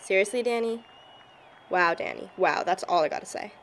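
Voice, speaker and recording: A woman's voice: medium pitch, moderate pace, a bit bright, lightly acted. A young woman playing a hot-tempered, confrontational teenage girl in an amateur audio drama, snappy and dramatic. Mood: angry.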